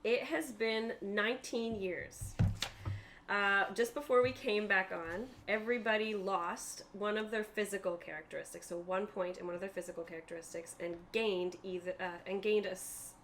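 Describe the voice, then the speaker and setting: A woman's voice: medium pitch, a bit bright, a little hiss or hum. A woman narrating a tabletop roleplaying game as game master, explaining things in a conversational way.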